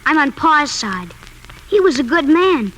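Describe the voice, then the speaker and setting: A defiant, grieving frontier boy with a high, sharp voice. The speech is rustic, emotional American, in old radio Western style, on a slightly noisy 1950s recording. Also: very animated, a little slow, exaggerated voice. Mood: bitter defiance.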